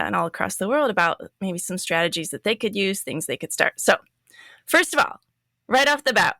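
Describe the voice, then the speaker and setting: A woman's voice: medium pitch, pushed and bright, no room sound, studio quality. A woman hosting a podcast interview, asking friendly, leading questions.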